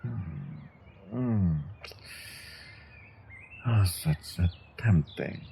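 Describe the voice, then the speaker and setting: Man performing an evil sorcerer captor, very low, unhurried, theatrical, menacing, condescending, fantasy roleplay audio. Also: vocal fry, dark tone, a little hiss or hum, heavily acted. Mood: menacing, taunting. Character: menacing, controlled evil male sorcerer, captor taunting prisoner. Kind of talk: scripted fantasy audio roleplay, intimate second-person monologue.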